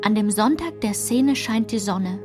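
An actress narrating rules and village routines in a radio play, in a clipped, matter-of-fact literary recitation. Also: female voice, mid-range voice, expressive, measured pace, music in the background, lightly acted.

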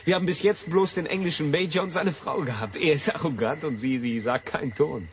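An actor playing a brash, joking young tourist, breezy and sardonic in radio drama dialogue. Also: male voice, on the high side, brisk, a little hiss or hum, lightly acted. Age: young adult.

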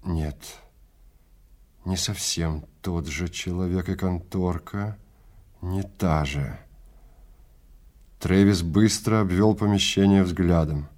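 A man's voice: sits low, slow, pauses often, darkish tone. A man narrating a science fiction story in a dramatic, scripted reading style.

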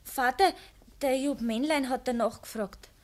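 An actress playing a sulky, defiant young peasant daughter in a radio play, speaking tersely with a Bavarian accent. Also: female voice, sits high, measured pace. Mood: defensive. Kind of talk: naturalistic dialect radio drama acting.

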